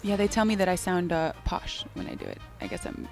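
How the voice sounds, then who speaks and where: A woman's voice: on the low side, big pitch swings, brisk, vocal fry. A young woman guest on a live poker webcast, talking casually in a bubbly, giggly way. Faint music plays in the background. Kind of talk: casual chat.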